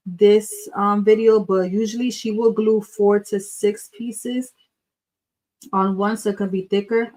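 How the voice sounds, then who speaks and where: An excitable woman from the Dominican Republic runs a live craft tutorial, talking straight to her viewers. She chats fast and loose, says y'all a lot and drops in a bit of Spanish, with a nervous edge to her voice. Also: medium pitch, poor audio. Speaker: craft livestream host. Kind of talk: live craft tutorial with chat shout-outs.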